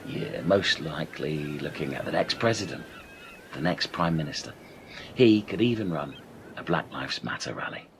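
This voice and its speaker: A man's voice: very deep voice, expressive, normal speed. A man acting a world-weary, sweary bloke with dry wit in a comic radio play, slight noise behind him. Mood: deadpan, musing.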